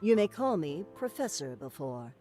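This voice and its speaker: A woman playing a stern professor at a magic academy in a visual novel game, formal, measured and authoritative, with music behind her. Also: low voice, big pitch swings, warm tone.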